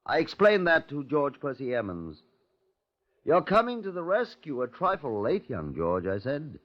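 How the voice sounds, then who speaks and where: Measured British detective-narrator; formal, deliberate, widely inflected, dull vintage radio recording. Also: male voice, a little high, huge pitch swings. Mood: solemn, formal. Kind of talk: 1950s radio crime drama, formal narration. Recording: muffled old radio broadcast recording.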